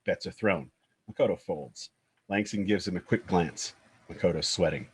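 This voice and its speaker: A man's voice: sits low, moderate pace, husky. A man giving scripted narration, reading out the scene directions of a screenplay at a table read.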